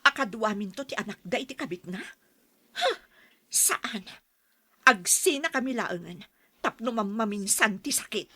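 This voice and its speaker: A woman's voice: medium pitch, expressive, unhurried, long pauses, sharp tone, husky, no room sound, heavily acted. A woman playing a betrayed, anguished wife with a fierce temper in a radio-style melodrama, emotional and confrontational. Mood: hurt, bitter.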